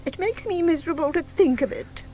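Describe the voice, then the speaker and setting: A woman's voice: extremely high pitch, expressive, a little fast, dark tone, slight background noise. An actress playing a doting, sentimental older woman who coos over her pet in an audio drama, with gushing, emphatic delivery. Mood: fretful.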